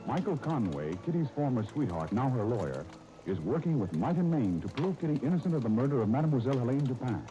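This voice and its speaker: A man's voice: medium pitch, big pitch swings, fast, over music. A man narrating a 1930s radio serial as its announcer, in a scripted dramatic style, on an old recording. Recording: muffled, noisy 1930s radio disc.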